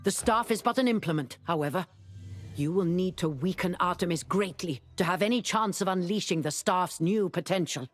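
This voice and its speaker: A woman's voice: fairly low pitch, moderate pace, soft background music, close to an everyday voice. Formal, commanding sorceress played by a woman. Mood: grave, resolute. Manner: formal, wry, urgent. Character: wry, commanding sorceress, urgent mentor to a young hero. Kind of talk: video game character dialogue.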